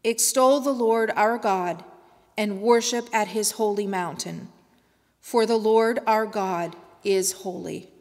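A middle-aged woman reading scripture at a church lectern in a slow, measured way. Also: mid-range voice. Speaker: scripture reader. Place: large church sanctuary. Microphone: lectern microphone. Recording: long church reverb.

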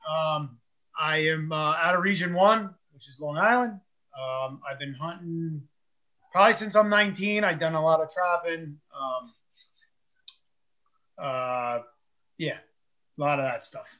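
A man's voice: on the high side, a little slow, long pauses, bright, forceful tone, lo-fi sound. A man volunteering as a speaker, talking casually on a video call with loose, filler-heavy speech.

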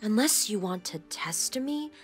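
An actress playing a fierce, threatening archer in a fantasy audio drama, commanding and menacing. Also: female voice, medium pitch, animated, unhurried, faint music behind, close to an everyday voice.

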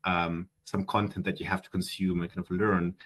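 A chatty young man with a German accent talks over a headset on a video call, his voice a little low and slightly husky. He speaks in casual interview answers and keeps restarting his sentences with fillers. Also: little pitch movement, moderate pace, fairly bright tone. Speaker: guest.

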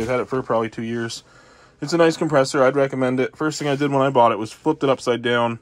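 A man's voice: medium pitch, slight background noise. A man casually reviewing his air compressor in a cluttered garage, filming on a handheld phone and rambling with lots of fillers and blunt, profane asides. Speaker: channel host reviewing tools. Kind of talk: casual off-the-cuff product review. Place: cluttered garage workshop, indoors. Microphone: handheld phone camera, first-person view.

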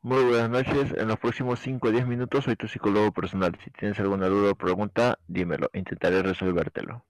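A man from Peru with a Peruvian Spanish accent, speaking hesitant, halting English on a video chat. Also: lowish voice, fairly even intonation, dry recording, rough recording.

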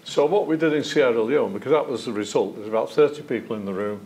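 A man's voice: medium pitch, fast. An older man, a former police chief, telling anecdotes as a witness at an evidence session in a lecture theatre. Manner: anecdotal, animated hand gestures. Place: lecture theatre, seated at a desk.

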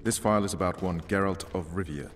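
A man's voice: sits low, quick pace, close to an everyday voice. A man playing a stern, commanding special forces interrogator in a video game, blunt and authoritative. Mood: calm, grave. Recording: long hall reverb, faint ambient noise.

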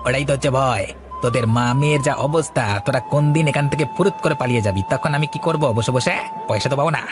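Cartoon casting: man as tight-fisted, brusque veg hawker, rapid-fire delivery, music behind. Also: mid-range voice, close to an everyday voice. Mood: dismissive. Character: stingy, dismissive village vegetable seller. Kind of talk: Bengali cartoon story voice acting.

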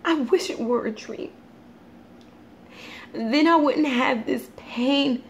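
A woman performing a dramatic monologue as a grieving, unhinged mother tormented by guilt, halting and anguished. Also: high voice, lo-fi sound, slight background noise.

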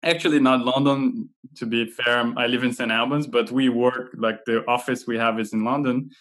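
A man's voice: medium pitch, normal speed. Brazil guy in his 30s, chill, headset video call chat. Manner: relaxed, with fillers and light laughs. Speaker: guest, head of content. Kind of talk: casual video-call interview. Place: home room.

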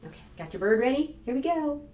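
An American woman leads storytime for young children with a fairly high, very animated delivery. Her tone is on the soft, mellow side as she explains and reads aloud clearly for little listeners. The small room adds echo, with noticeable background noise. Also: fast talker. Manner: expressive, instructive, addressing young children with questions. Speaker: children's librarian and storytime host. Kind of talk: read-aloud and rhymes for preschoolers. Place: small plain indoor room.

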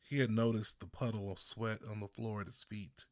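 A man from Texas reading his own first-person story as an audiobook narrator, with deliberate phrasing and pauses. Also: fairly low pitch, steady tone, dry recording, clean studio sound. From Texas, United States.

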